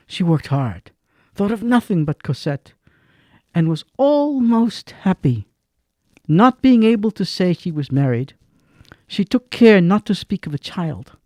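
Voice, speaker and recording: A man narrating a radio drama in a dramatic, expressive way, swinging between hushed lines and stressed ones. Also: fairly high pitch, dry recording, studio quality. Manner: slow and expressive, swings between hush and emphasis.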